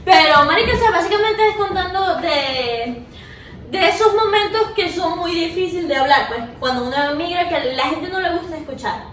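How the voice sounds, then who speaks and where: A woman's voice: extremely high pitch, lively intonation, moderate pace, fairly bright tone. A young Venezuelan woman with a Venezuelan accent, a guest filmmaker talking in casual, slangy, emotional chat. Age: young adult. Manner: slangy, emotional, keeps asking "me entiendes". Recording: echoey room, far from mic, faint noise.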